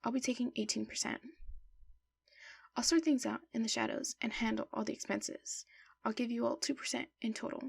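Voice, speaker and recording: A woman playing a commanding middle-aged school principal who runs a criminal crew, husky and creaky, measured and businesslike, in an anime-style fan dub. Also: a little high, fairly even intonation. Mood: businesslike, secretive.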